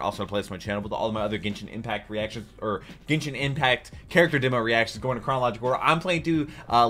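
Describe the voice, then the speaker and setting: A man's voice: medium pitch, fairly bright tone. A young man with an American accent giving chatty, rambling reaction commentary as a video host. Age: young adult. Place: small room at home, indoors.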